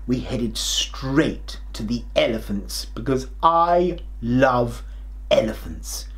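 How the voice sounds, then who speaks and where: A middle-aged man playing a kindly, jolly, forgetful old grandad king, telling a children's story in an expressive, theatrical way. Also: medium pitch, takes it slowly. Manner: theatrical, expressive, with hammed-up hesitations and chuckles.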